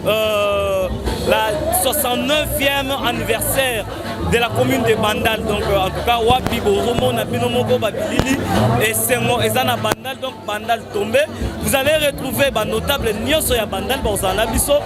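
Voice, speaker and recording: Male field reporter, high pitch, hesitant, loud festival music behind. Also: steady tone, moderate pace. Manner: filler-heavy, hesitant. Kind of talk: live event coverage. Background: loud music and crowd.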